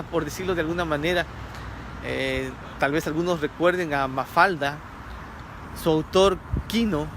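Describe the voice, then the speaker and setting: A man's voice: on the high side, background noise. A man from Ecuador preaching a sermon, earnest, repeating key phrases for emphasis.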